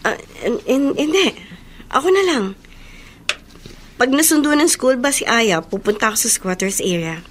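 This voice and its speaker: Radio drama daughter, Filipino, played by a woman: high-pitched, soft-mannered, hesitant, emotive, some noise behind. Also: lively intonation, moderate pace, long pauses, close to an everyday voice. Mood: wistful, reflective. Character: Isabel, gentle grown daughter returning to her childhood slum. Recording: noticeable background noise.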